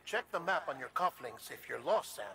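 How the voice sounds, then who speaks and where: A man's voice: fairly high pitch, expressive, normal speed. An actor playing a composed, earnest government official in a video game, persuasive and solemn in his delivery. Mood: instructive. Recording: clear background noise and ambience.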